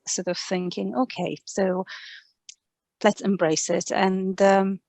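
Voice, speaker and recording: A woman in her forties with a Polish accent, talking reflectively and casually, often halting and repeating words. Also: medium pitch, steady tone, gravelly, a little mellow, no room sound. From Poland. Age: 40s. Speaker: interview guest, speech and language therapist.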